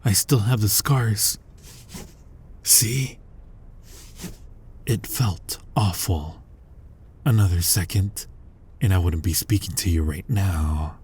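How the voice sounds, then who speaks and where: A man playing an ancient, brooding, menacing vampire who hunts his own kind, in an ASMR roleplay, husky and breathy with a slow, deliberate, intimate delivery that often drops toward a whisper. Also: low-pitched, darkish tone, full character voice. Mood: grim, haunted. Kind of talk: scripted ASMR roleplay monologue.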